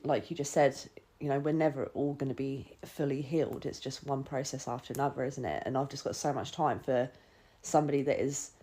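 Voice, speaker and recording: A woman's voice: extremely low pitch, steady tone, a little fast, warm tone, slightly rough. A woman hosting a podcast, chatting enthusiastically and casually with plenty of filler words. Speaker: podcast host.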